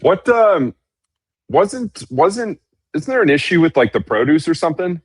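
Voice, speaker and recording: A man's voice: a little high, sing-song, measured pace, breathy edge. A man co-hosting a casual podcast, joking around and trading banter. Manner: joking, says "dude" a lot. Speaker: podcast co-host.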